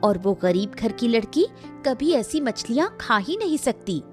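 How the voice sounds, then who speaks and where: A woman's voice: fairly high pitch, big pitch swings, a little slow. A woman voicing a proud woman of a rich family in a cartoon story, who speaks in a cutting, snobbish way. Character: proud, sharp-tongued woman of a rich house. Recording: soft background music.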